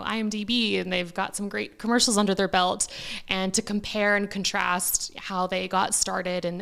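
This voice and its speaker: A woman's voice: mid-range voice, gravelly, a bit bright. A Canadian woman chatting casually as an interview guest, telling things in a chatty way with quoted asides. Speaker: talent agent, interview guest.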